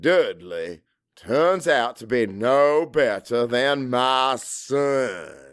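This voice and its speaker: A man reading dramatic first-person audiobook narration in a brooding, bitter, deliberate manner. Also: medium pitch, expressive, fairly bright tone, dry recording, studio quality.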